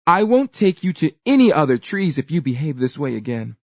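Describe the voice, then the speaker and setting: A woman reads as a clever village girl in a folktale. She speaks sweetly yet firmly, with animated rises and falls and a gently instructing manner. The recording is dry and close. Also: low-pitched, a little fast, no room sound. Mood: cautioning. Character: clever, sweet-spoken village girl, kind but firm.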